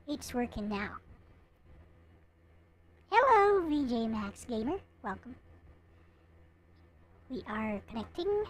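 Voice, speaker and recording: A woman hosting a livestream, chatting casually with viewers in a halting way and often muttering to herself. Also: a little high. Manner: halting, muttering to self while setting up. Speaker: livestream host.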